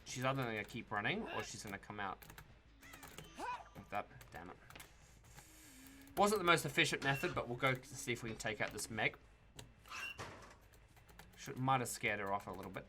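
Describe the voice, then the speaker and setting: A man's voice: medium pitch, moderate pace, long pauses, background noise. A young Australian man giving casual commentary over his own gameplay, talking to himself and the players and swearing now and then. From Tasmania, Australia. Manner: relaxed, talks to himself and the players, mild swearing. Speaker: gaming YouTuber.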